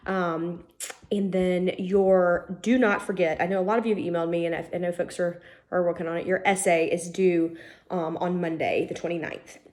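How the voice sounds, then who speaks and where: Sniffly woman lecturing with a cold. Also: on the low side. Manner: chatty, informal, many "um" fillers. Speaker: college lecturer. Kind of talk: recorded online lecture.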